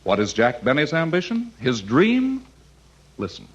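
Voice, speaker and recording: A man's voice: mid-range voice, huge pitch swings, measured pace, dull audio, very noisy. A man announcing a 1940s radio show from a script in a grand, declamatory manner, on an old recording. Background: loud music.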